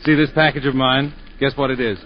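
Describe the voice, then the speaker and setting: An actor playing a gentle, good-humored village vicar, genial and lightly teasing, in a 1940s radio play. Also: male voice, mid-range voice, fairly even intonation, a little fast, natural voice. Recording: muffled 1940s radio broadcast.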